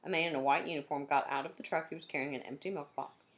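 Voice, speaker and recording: A woman's voice: low-pitched, fairly even intonation, fast talker. A woman teacher reading a children's chapter book aloud, adding friendly asides to her young listeners. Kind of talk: children's read-aloud narration.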